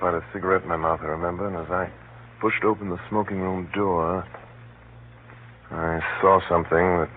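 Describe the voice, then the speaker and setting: A man acting a grave gentleman who narrates a spy plot in an urgent, deliberate, dramatic 1930s radio drama style. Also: deep voice, natural voice. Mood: tense narration. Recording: muffled, noisy 1930s radio recording. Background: faint hiss and noise.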